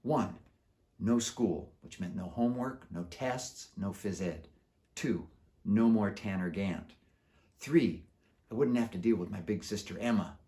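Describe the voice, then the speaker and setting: A man who is an author reading his children's book aloud in an animated storytelling style. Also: fairly low pitch, a little slow.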